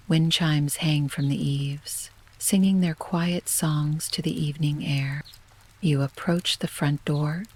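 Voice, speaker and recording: A woman narrating a guided sleep meditation in a soft, breathy near-whisper, gentle, hushed and unhurried, like a soothing scripted bedtime story. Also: low-pitched.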